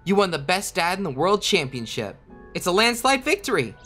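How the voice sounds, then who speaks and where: A woman's voice: fairly low pitch, very animated, normal speed, a bit bright. A woman playing an earnest, loving young schoolboy in an anime game fandub. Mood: proud. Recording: light music behind.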